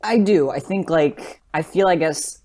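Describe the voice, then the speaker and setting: A woman co-hosting a podcast, chatting analytically and casually, choosing words in a measured, thoughtful way. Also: medium pitch, darkish tone. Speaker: podcast co-host.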